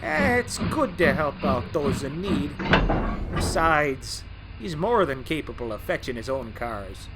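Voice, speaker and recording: A man's voice: on the high side, very animated, a little slow, very noisy. A man voicing a boastful, charming male tank engine in an audio drama, with a jaunty, folksy manner. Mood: gallant.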